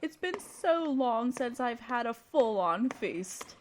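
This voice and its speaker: Evil queen for fantasy audio drama, female performer: high-pitched, haughty and conniving, grand theatrical delivery, talks down to everyone. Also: very animated, slow pace, a little hiss or hum. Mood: eager, indulgent. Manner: imperious, theatrical, condescending. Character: scheming evil queen, haughty tyrant gloating to her advisor. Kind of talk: scripted roleplay audio drama monologue.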